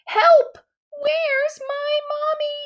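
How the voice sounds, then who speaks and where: A woman with a high voice reads a children's storybook aloud. She takes her time, stretching important words for effect. Her delivery is dramatic and expressive, with emphatic exclamations. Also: drawn-out words. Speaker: storybook reader.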